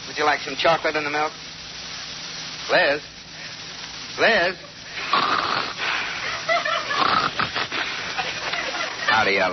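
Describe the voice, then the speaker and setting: A man's voice: mid-range voice, muffled recording, heavy background noise. A man acting an exasperated, grumbling husband in a 1940s radio comedy, on an old recording. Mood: sleepy, resigned.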